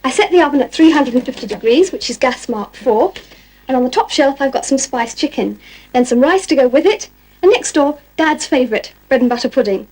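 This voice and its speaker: A woman's voice: high-pitched, a little mellow, poor audio. A British woman playing a cheerful, practical, thrifty housewife cook in a scripted public information film.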